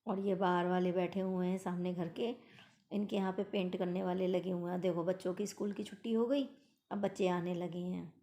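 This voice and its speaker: A middle-aged woman with a hoarse, mellow voice narrates her day in a casual, homely way, speaking straight to her viewers. Her pitch stays flat and even. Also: normal speed. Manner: chatty, homely, talks to viewers directly. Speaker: vlogger. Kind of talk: casual daily-routine narration.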